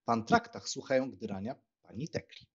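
Man as young gentleman, bantering, playful, ironic, husky voice, slightly dull recording, audiobook drama. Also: mid-range voice, lively intonation, brisk. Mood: cheerful banter. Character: cheerful, teasing young Polish gentleman, playful and talkative. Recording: slightly dull, muffled sound.

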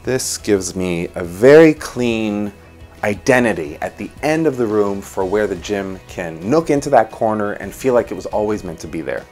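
A man in his thirties hosting a design show, walking through a floor plan in an animated way from his home office on a lapel mic. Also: fairly low pitch, normal speed, soft background music. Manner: animated, gestures while explaining. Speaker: interior designer host.

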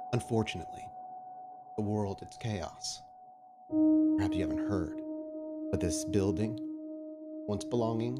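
A man voicing a patient, menacing vampire prince in a tabletop game, speaking slowly and with measured authority. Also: low-pitched. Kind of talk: in-character tabletop roleplay speech. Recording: music behind the voice.

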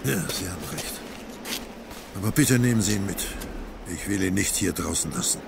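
An actor playing a senior commander in an audio drama, gravelly, measured and polite, with concerned authority. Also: male voice, sits low, reverberant, background noise. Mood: sombre. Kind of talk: German audio drama acting.

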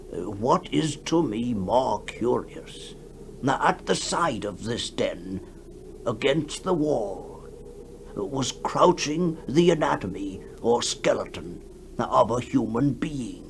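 Slow, halting, haunted witness to horrors. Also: male voice, medium pitch, gravelly. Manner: halting, dramatic, hesitant. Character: shaken male witness recounting horrors, formal and halting. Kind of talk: 1960s radio horror drama acting. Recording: noisy 1960s radio recording.

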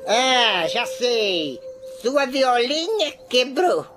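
A woman's voice: fairly high pitch, sing-song, extremely slow, over music, exaggerated voice. A woman voicing a fussy, timid, nosy little creature sidekick in a cartoon dub, with a Brazilian accent. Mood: curious, teasing. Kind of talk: animated cartoon dub acting.

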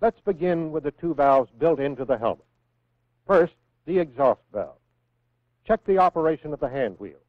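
An American man reading scripted instructions in a measured, clearly enunciated way, as the narrator of a 1960s military training film. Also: on the high side, expressive, no room sound. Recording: phone-like vintage 1960s film soundtrack.

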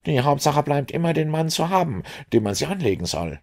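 A gruff police inspector brushing the idea aside, as a man lifts his voice to play him. The voice sits higher than the narrator's, dismissive and rough. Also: sing-song, brisk, darkish tone.

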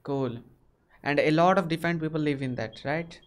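A man's voice: fairly high pitch, moderate pace. A young man from India with an Indian English accent, chatting casually with strangers on a webcam and asking many short questions. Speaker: video chat host. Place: small bedroom.

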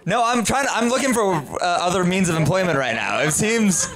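A man's voice: sits high, animated, brisk. A young man hosting a comedy show, teasing and joking into a handheld mic in a club. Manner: playful, teasing. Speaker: host. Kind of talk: joking banter. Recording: live club with crowd noise. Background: crowd.

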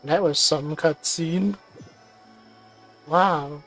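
A woman gamer with a slightly low voice reacts casually as she plays. She speaks very slowly in short, offhand comments, with music behind her. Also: fairly bright tone. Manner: unhurried, offhand, sparse comments. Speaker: gaming streamer. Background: game music.

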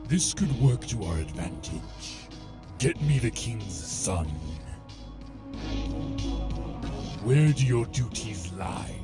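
A man's voice: medium pitch, lively intonation, slow pace. A man playing a menacing, manipulative alien warlord in a video game, commanding and insinuating. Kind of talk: video game cutscene acting. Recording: game music over the voice.

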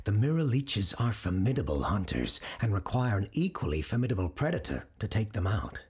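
An actor playing a clinical, self-assured radio host doctor who deals with the supernatural, in an audio drama. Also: male voice, on the low side, sing-song, fairly quick, a little mellow, husky, heavily acted. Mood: explaining. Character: cold calculating male radio host doctor, supernatural expert.

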